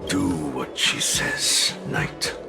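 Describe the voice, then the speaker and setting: An ancient, world-weary spirit bound in a cursed sword for a video game. The voice is extremely low and gravelly, moving slowly and gravely, with music playing underneath. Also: male voice, big pitch swings, heavily acted. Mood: weary, resigned. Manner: slow, grave, resigned.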